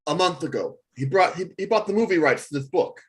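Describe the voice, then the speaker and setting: A man's voice: a little high, lively intonation, lightly acted. An actor playing a desperate, whining washed-up male singer, stammering his way through a script table read. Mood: despairing, helpless. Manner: halting, stammering.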